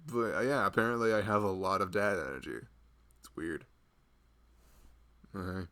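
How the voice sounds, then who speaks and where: A man's voice: low voice, lively intonation, normal speed, fairly bright tone. A man playing a loving, easygoing husband and nervous expectant father in an intimate ASMR roleplay, rambling casually and hesitantly. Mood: amused, bashful. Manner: rambling, self-amused, hesitant, often chuckling.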